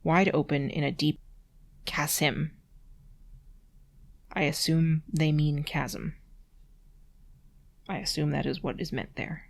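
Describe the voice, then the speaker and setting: A woman's voice: deep voice, fairly even intonation, creaky. A woman reading a text aloud carefully, breaking off for dry, sarcastic commentary. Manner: dry sarcastic asides between passages; careful, deliberate reading of awkward prose. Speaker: reader and host.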